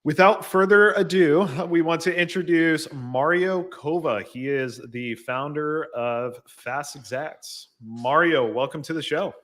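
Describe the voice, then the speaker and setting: A man's voice: fairly high pitch, measured pace, hardly any pauses, fairly bright tone. An American man hosting a casual live business show, chatting and introducing a guest. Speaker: show host.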